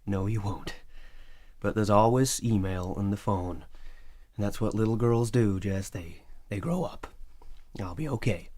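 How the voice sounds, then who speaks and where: A low male voice for a doting middle-aged dad, acted by a man. He speaks tenderly, with gentle chiding, in an audio drama scene. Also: measured pace, lightly acted. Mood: tender, wistful.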